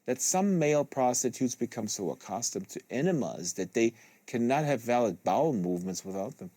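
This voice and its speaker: A man reading literary prose aloud like an audiobook, with deliberate phrasing, close to a studio microphone. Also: medium pitch, very animated, warm tone, dry recording. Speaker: host reading a novel aloud. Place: small studio with acoustic foam panels.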